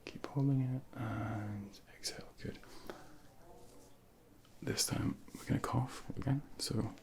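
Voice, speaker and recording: A man speaking softly and breathily in an ASMR medical role play as a junior doctor, gently reassuring and giving step-by-step instructions into a close binaural microphone. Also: mid-range voice, fairly even intonation, takes it slowly. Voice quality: breathy, whispery, with heavy creak.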